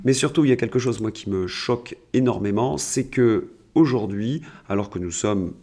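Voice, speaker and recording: A man's voice: on the low side, normal speed, warm tone. A man delivering opinionated political commentary to his viewers, sardonic and emphatic, with rhetorical questions and repeated words. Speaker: political video commentator and host.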